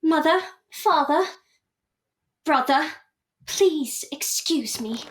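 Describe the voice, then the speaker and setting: A woman's voice: high-pitched, animated, very slow, exaggerated voice, low-quality recording. A woman playing a sensitive young Victorian daughter, plaintive and formal, in a period audio drama. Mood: fed up.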